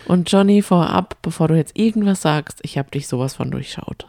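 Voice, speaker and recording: A woman's voice: low voice, brisk, warm tone, no room sound, clean studio sound. A woman co-hosting a casual podcast, bantering in a chatty, teasing way. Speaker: podcast co-host. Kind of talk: casual banter recapping reality TV.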